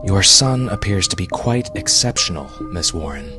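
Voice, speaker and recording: Man voicing a low, formal doctor. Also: animated, normal speed, music in the background. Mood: measured. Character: formal bespectacled male doctor delivering test results. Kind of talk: audio fiction story reading.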